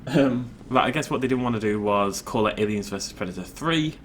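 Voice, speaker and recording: A man's voice: mid-range voice, expressive, fairly quick, a little hiss or hum. A man casually rambling while commenting on his own gameplay, chatty and self-deprecating. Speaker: let's play host.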